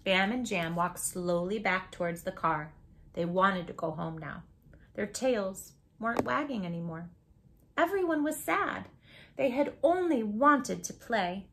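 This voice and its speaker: A woman's voice: medium pitch, animated, measured pace, a bit bright, slight background noise. A woman hosting a children's read-aloud, narrating a storybook expressively and putting questions to her young listeners.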